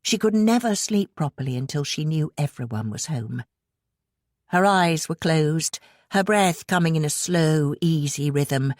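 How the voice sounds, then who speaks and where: A woman's voice: sits low, expressive, unhurried, darkish tone, no room sound, studio quality. A woman reading a suspense audiobook as narrator, telling the story expressively and dramatically. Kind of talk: scripted suspense fiction narration.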